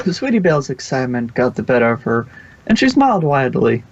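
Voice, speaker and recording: A man's voice: mid-range voice, sing-song, fairly quick, highs cut off, a little hiss or hum. A man reading fan fiction aloud as the narrator, close to the microphone.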